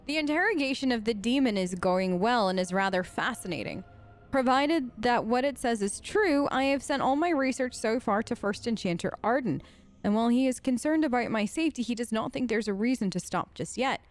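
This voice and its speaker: A woman's voice: fairly high pitch, lively intonation, fairly quick, natural voice. A woman voicing a scholarly male enchanter's research journal from a fantasy game, reading in a musing, formal way.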